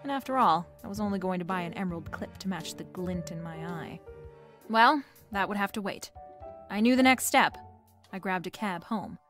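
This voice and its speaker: A woman's voice: mid-range voice, moderate pace, fairly bright tone, soft background music, natural voice. A woman playing a wisecracking, flirtatious private eye, narrating a noir radio drama in a wry, playful way. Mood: wry storytelling.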